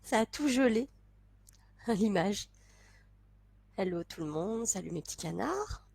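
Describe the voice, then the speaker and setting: A woman's voice: medium pitch, animated, takes it slowly, vocal fry, a little mellow, husky, dry recording. A young woman chatting to camera with a congested, stuffy voice, laughing often and restarting her sentences. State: congested from a cold. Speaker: vlogger. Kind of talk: casual chat to camera.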